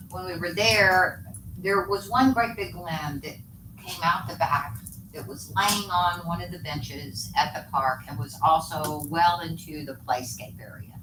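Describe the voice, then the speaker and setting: A board member explains matters to the room in a friendly, explanatory way, restarting sentences often. The voice sits far from the microphone in a reverberant hall. A steady hiss of room noise runs underneath. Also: mid-range voice. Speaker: board member responding at public meeting. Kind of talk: casual meeting discussion. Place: echoey meeting room. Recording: echoey, distant, noisy meeting room audio.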